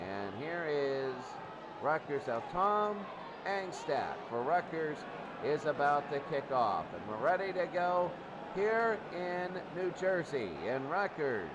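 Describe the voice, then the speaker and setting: A male play-by-play football announcer with a slightly high-set voice. He gives live commentary on each down, with very loud noise all around him. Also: very animated, slow. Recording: very noisy, outdoor-like background din.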